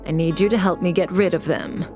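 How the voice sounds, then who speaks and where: A woman's voice: on the low side, steady tone, fairly quick, natural voice. A woman voicing a cool, mysterious, teasing manipulator in a video game cutscene, composed and in no hurry. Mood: cool, assured. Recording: music bed behind the voice.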